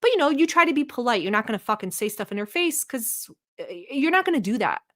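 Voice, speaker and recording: A woman's voice: high voice, sing-song, fast talker. A woman from Turkey hosting a live stream, giving blunt, mocking and profane commentary while talking to her chat. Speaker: livestream host reacting to a video.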